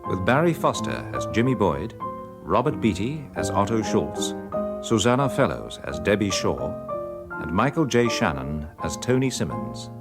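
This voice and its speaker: Formal male drama announcer, lowish but animated, presenting cast and title. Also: moderate pace, gravelly. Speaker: radio announcer. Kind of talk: formal broadcast drama introduction. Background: loud piano music.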